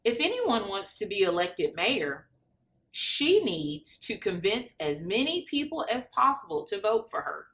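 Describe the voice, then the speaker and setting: A woman reading a picture book aloud to children, expressively, pausing to explain what the pictures show. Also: mid-range voice, big pitch swings, fairly bright tone. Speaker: guest storybook reader. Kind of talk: children's read-aloud narration.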